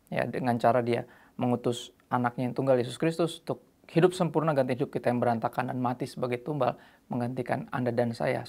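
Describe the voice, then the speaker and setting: Gravelly-voiced male church show host. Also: mid-range voice. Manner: relaxed slangy delivery, quoting scripture. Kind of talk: casual preaching talk.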